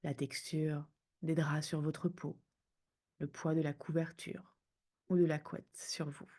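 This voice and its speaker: A woman guiding a sleep meditation in a soothing, hushed manner, speaking in unhurried phrases with pauses. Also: low voice, level tone. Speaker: yoga nidra guide.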